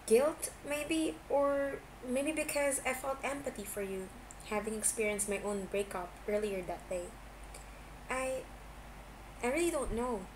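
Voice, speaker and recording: A woman's voice: fairly high pitch, a little slow, pitched above the performer's own voice, close to an everyday voice. A woman voicing a giggly, defensive young man in an amateur audiobook, stammering as the character gets flustered. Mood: flustered, hesitant. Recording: clearly noisy amateur home recording.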